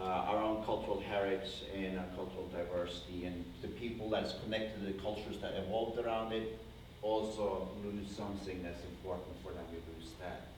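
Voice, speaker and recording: A man's voice: lowish voice, a little mellow. A man with a Norwegian accent gives an informal slide lecture to an audience, speaking hesitantly and often rephrasing himself as he rambles along. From Norway. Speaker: conservation project founder giving a guest lecture. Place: indoor university lecture room. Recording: very echoey room, distant mic, faint noise.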